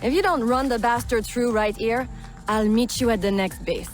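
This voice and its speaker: A woman's voice: a little high, normal speed, soft background music, lightly acted. An actress playing a brash, hot-tempered bayou smuggler woman in a video game, blunt and scornful. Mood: brusque, mocking.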